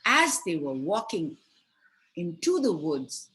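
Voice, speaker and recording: A woman storyteller narrates a fairy tale for children. Her pace is slow and deliberate, and her pitch moves widely as she explains and stresses key words expressively. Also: very animated, fairly bright tone.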